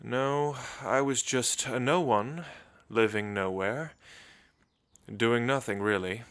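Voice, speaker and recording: A man playing an elegant, centuries-old vampire in an ASMR roleplay, suave and theatrical, with musing pauses. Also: mid-range voice, fairly bright tone, clear, resonant tone. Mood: wistful, solemn. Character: centuries-old elegant male vampire, languid, teasing, world-weary.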